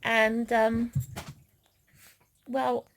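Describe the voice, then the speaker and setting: A woman's voice: a little high, level tone, drawn-out words, vocal fry, slight background noise. A woman vlogger chatting casually in a giggly way. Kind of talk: casual chat.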